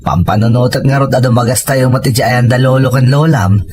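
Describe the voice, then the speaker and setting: An actor playing a caring, gentle husband and father in an Ilocano radio drama. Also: medium pitch, steady tone, a little fast, music in the background, close to an everyday voice. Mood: fond. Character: caring Filipino husband and father, plain-living.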